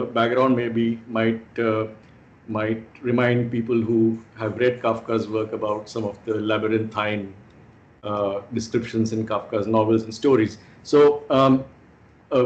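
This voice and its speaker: Man, Indian accent, guest speaker, articulate with fillers, mid pitch, dull call audio. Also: a little mellow. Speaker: guest speaker, German studies scholar. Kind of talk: online presentation talk. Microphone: video call. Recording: muffled video call audio.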